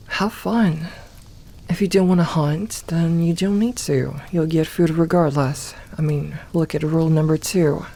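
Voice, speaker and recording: A woman voicing a gentle, comforting young werewolf in a soft ASMR roleplay, talking intimately and reassuringly to one listener with light humour. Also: low voice. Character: gentle, comforting young female werewolf, playful self-styled lone wolf.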